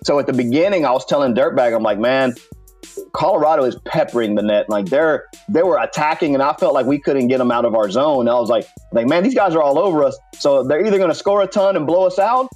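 A man's voice: mid-range voice, lively intonation, fast talker, darkish tone, slightly rough, faint music behind. A man hosting a podcast, bantering casually about sports and funny stories in a teasing, mildly profane way. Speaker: podcast host.

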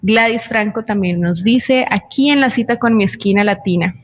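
A woman's voice: medium pitch, normal speed. A woman with a Colombian accent co-hosting a live online show, giving greetings and reading out listeners' messages. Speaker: co-host handling audience messages.

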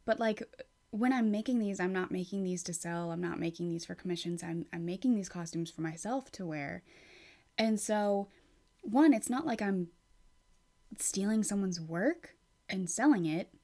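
A woman's voice: mid-range voice, moderate pace, darkish tone. A woman hosting her own podcast in a casual, rambling way, chatty and frank, with some swearing. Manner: rambling, with many fillers and restarts. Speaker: podcast host. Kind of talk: casual solo podcast chat.

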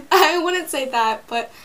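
A woman's voice: high-pitched, expressive, slow, a bit bright. A teenage girl co-hosting a podcast in casual chat, playful and teasing, with frequent giggles. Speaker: podcast co-host.

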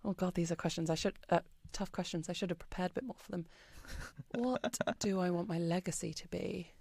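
A British woman being interviewed on a podcast, talking in a chatty, expressive way with plenty of ums and likes. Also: on the low side, brisk, gravelly, husky, dry recording. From Britain. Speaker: podcast guest. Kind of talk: casual interview chat.

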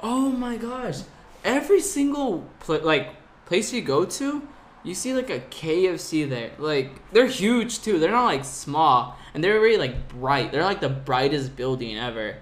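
A man's voice: a little high, measured pace. A young man from California, a podcast guest telling travel stories casually, with lots of "like" and laughter. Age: young adult. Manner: animated, laughing storyteller with lots of "like". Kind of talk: casual storytelling. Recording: faint background noise.

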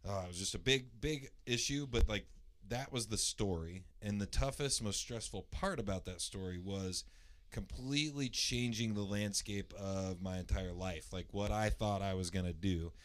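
A man from the Indianapolis area with a naturally loud voice, casually telling a long personal story, rambling with lots of fillers and restarts and clearing his throat. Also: on the low side, normal speed. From Indianapolis area, USA.

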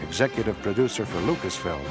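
Casting: a man as formal radio drama announcer, deep and solemn, reading over prominent music. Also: lively intonation, quick pace. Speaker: narrator and credits announcer. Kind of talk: dramatic scripted radio narration. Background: orchestral music.

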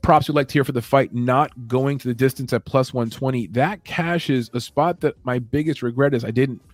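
A man hosting a late-night MMA show, talking in a calm, rambling, laid-back way. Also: mid-range voice, moderate pace, no room sound. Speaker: MMA podcast host. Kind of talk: late-night solo show, casual chat.

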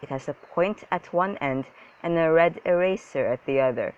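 A kindly woman schoolteacher as a storybook reader acts her out speaks sweetly and patiently, encouraging her class. The pitch is moderate and the sound is slightly lo-fi. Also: moderate pace, close to an everyday voice.

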